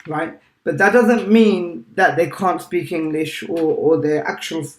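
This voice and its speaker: A man's voice: fairly high pitch, a little slow. Man with a "right?" habit, echoey room. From United Kingdom. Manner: animated, keeps checking in with "right?". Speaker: teacher, guest. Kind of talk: casual interview chat.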